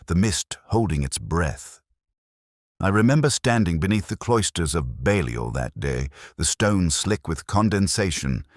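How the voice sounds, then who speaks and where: Very deep-voiced brooding male narrator. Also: no echo, studio quality. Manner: measured, brooding, reflective delivery. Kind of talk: scripted first-person detective narration.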